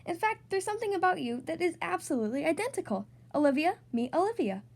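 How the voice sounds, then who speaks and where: A woman voicing a brisk, cheerful storybook schoolteacher who speaks instructively to a class of children. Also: very high-pitched, sing-song, a little mellow, pitched above the performer's own voice, exaggerated voice. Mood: welcoming.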